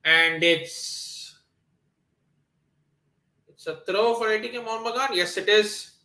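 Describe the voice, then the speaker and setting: A man's voice: sits high, slow, reverberant. A man from Nepal hosting a football watchalong stream, commenting on the match and answering viewers with many fillers and restarts. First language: not Hindi. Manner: excitable, lots of fillers and restarts. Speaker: livestream watchalong host.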